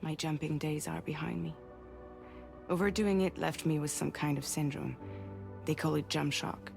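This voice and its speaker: An actress playing a composed, earnest woman on a grave mission in a video game, speaking in a measured, serious way. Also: fairly even intonation, a little mellow, soft background music. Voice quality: low, creaky. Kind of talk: cinematic video game acting.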